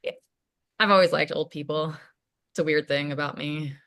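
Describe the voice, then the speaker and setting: A woman playing a chatty, self-deprecating, awkward college student in a casual, naturalistic play reading, halting and rambling as she goes. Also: deep voice, steady tone, a bit bright. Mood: amused, fond. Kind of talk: table read of a play, naturalistic casual acting.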